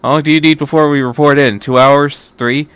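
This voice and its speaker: A man's voice: a little high, fairly even intonation, fairly quick, a bit bright, clear, resonant tone, no echo, close to an everyday voice. A man voicing an eager teenage schoolboy with a casual, matter-of-fact manner, in a story reading.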